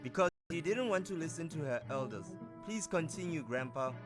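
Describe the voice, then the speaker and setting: A man's voice: fairly high pitch, expressive, a little fast, natural voice. A man voicing a stern listener who makes firm, moralizing remarks about the story. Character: stern male listener who judges the stubborn girl. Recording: music playing underneath. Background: music.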